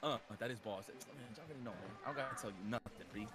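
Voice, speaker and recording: A man with a New York accent, hosting a charity stream and excitedly co-commentating a game with slang and repeated words. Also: mid-range voice, very fast.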